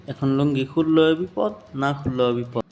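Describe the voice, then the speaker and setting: A man's voice: medium pitch, normal speed, darkish tone, slight background noise, close to an everyday voice. A man voicing a character in a comic cartoon interview skit, plain and conversational in manner.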